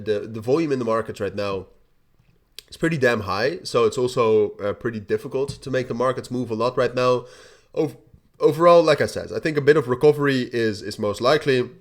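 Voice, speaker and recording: A young man with a stuffy, nasal voice hosting a casual crypto update, rambling with many restarts and "all right?" checks. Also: mid-range voice. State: blocked nose. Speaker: crypto channel host. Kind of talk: casual market update to camera.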